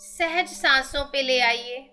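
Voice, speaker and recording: A woman with an Indian accent hosting a guided meditation, giving gentle, soothing instructions in drawn-out phrases. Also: high-pitched. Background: meditation music with singing bowl tones.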